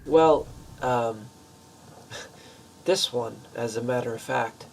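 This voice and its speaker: A man's voice: medium pitch, slow pace, creaky, darkish tone, slight background noise, low-quality recording. A man acting as a nervous, polite pizza shop manager in a radio play, speaking hesitantly and warily. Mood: nervous, probing. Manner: hesitant, wary.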